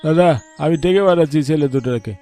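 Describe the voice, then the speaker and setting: Man putting on an anxious, high-pitched village uncle. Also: fairly quick, warm tone, soft background music, close to an everyday voice. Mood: anxious, deferential. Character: anxious villager uncle, younger man addressing his elder.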